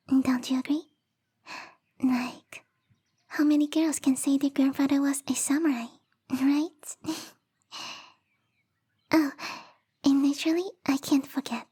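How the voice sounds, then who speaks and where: A woman voicing a bubbly, lovestruck young female snow spirit in a soft, breathy ASMR roleplay whisper, coy and rambling. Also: high-pitched, steady tone, normal speed, long pauses, lightly acted. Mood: polite, chatty.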